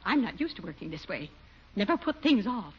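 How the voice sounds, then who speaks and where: An actress playing a prim, super-efficient young female secretary who speaks in a crisp, bossy, methodical way. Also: mid-range voice, big pitch swings, fairly quick. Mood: stern. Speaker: Miss Lovejoy, secretary. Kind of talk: 1940s radio sitcom acting. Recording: muffled old radio broadcast, faint hiss.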